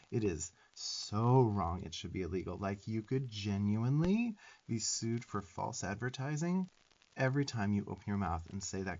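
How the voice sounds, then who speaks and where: A man's voice: fairly low pitch, darkish tone. Man playing a sassy, scolding little demon twink, muffled. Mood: exasperated scolding. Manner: sassy, scolding, profane, emphatic. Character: sarcastic, bossy, affectionate little young male demon twink. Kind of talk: roleplay ASMR affirmations.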